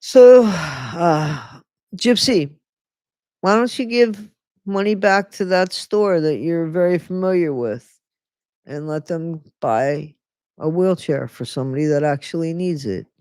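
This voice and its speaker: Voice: mid-range voice, expressive, slow, darkish tone, no room sound, studio quality. Sarcastic livestreamer reading a book aloud. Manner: sarcastic asides between read passages; chatty, reads aloud, often stops and rereads. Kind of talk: casual live reading with commentary.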